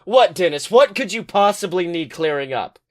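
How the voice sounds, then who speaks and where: A man voicing an excitable, exasperated would-be tough guy in a story, rambling and stammering. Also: high voice, normal speed, voice pushed up.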